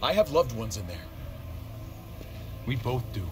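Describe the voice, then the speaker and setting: An actor playing an intense, righteous and volatile survivor leader in a dramatic video game. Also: male voice, medium pitch, sing-song, measured pace, background noise. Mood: grim urgency.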